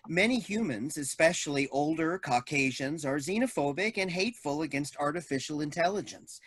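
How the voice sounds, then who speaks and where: A woman's voice: low-pitched, no room sound. A woman playing an officious, blithely ominous AI robot assistant in a staged comedy reading, speaking in formal automated announcements. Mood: chirpy, formal. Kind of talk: staged reading of a comic play.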